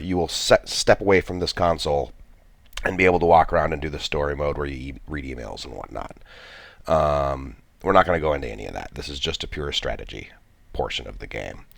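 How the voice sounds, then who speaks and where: A man's voice: a bass voice, creaky, no echo. A man with a stuffy cold, commenting on a strategy game he plays, thinking aloud with many restarts. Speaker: gameplay commentator.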